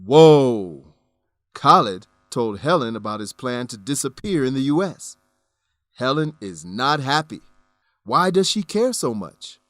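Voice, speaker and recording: A man's voice: mid-range voice, very animated, a little slow, fairly bright tone, dry recording. A man narrating a scripted radio drama recap in a dramatic way, putting questions to listeners.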